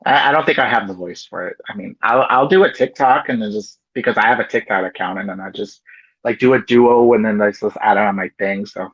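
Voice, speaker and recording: A man's voice: on the low side, fairly quick, a bit bright, slightly rough, no room sound, dull audio. A man co-hosting a podcast, talking loosely and chattily, full of "you know" and "like". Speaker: podcast co-host. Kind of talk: casual chat.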